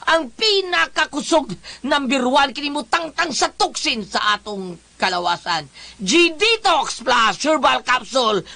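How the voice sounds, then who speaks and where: Female radio host, high and sharp, live-read and chat style.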